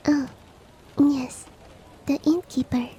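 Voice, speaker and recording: A woman voicing a courteous, coaxing monster-girl maid in a soft, breathy, whispery roleplay ASMR style with formal, old-fashioned politeness. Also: sits high, steady tone, takes it slowly, slight background noise. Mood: wistful, polite. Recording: rain ambience behind the voice. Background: falling rain.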